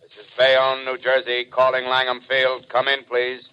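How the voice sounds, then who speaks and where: An actor playing a stiff, impersonal military radio operator, calling formally in 1930s radio drama style. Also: male voice, medium pitch, moderate pace, lightly acted. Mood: impersonal, grave. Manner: monotone formal call-out. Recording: muffled, faintly noisy 1930s radio recording.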